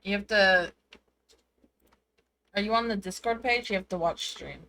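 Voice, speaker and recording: Bright-voiced, blunt woman gamer. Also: medium pitch, measured pace, rough recording. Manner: sweary, blunt. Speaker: gamer streaming and commenting on gameplay. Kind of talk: casual chat, gameplay commentary.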